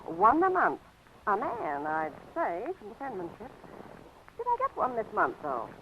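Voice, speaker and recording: A woman's voice: sits high, huge pitch swings, measured pace, vocal fry, exaggerated voice. An actress in 1940s radio acting, playing a fussy, nosy older woman who manages a rooming house, with a fluttery, genteel, easily flustered way of talking. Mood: gossipy. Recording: dull old radio broadcast with hiss.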